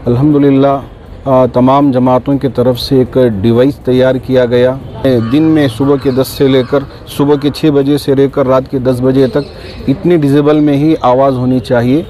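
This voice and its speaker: A man speaking calmly to reporters as a community spokesman, reassuring and unhurried, with small fillers. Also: mid-range voice, faint music behind, low-quality recording. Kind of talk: press statement.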